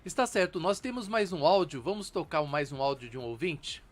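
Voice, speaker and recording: A man hosting a call-in program, moving things along in a brisk, businesslike way. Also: a little high, lively intonation. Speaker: host. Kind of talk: radio-style call-in hosting.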